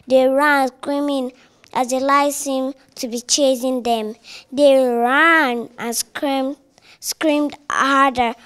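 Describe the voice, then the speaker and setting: A woman reading a children's story aloud in a deliberate, slightly halting way. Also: high voice, clear, resonant tone. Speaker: reader.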